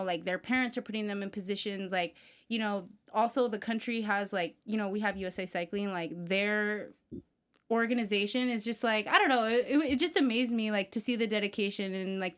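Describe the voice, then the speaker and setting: A woman's voice: medium pitch, clean tone. A woman guest on a cycling podcast, talking casually and filling her sentences with "like" and "you know". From Mexican-American, grew up in the US. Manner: chatty, many "like" fillers. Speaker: guest, women's cycling team director.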